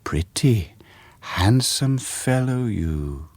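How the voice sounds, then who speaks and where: A man voicing a creepy, doting old man who croons to frogs in a breathy whisper. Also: on the low side, expressive, extremely slow, darkish tone, dry recording, heavily acted. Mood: crooning.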